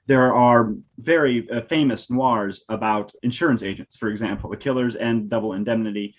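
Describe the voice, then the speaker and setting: A man's voice: medium pitch, moderate pace, poor audio. A man on a panel analysing film genre tropes in a lecturing way, trailing off at the ends of phrases.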